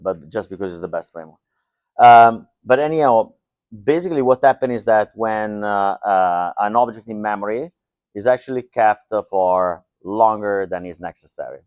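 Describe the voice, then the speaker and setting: A man's voice: on the low side, a little mellow, smooth, dry recording. A middle-aged man giving a joking, loose tech talk to a live audience, with lots of fillers. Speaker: conference speaker. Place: lecture hall.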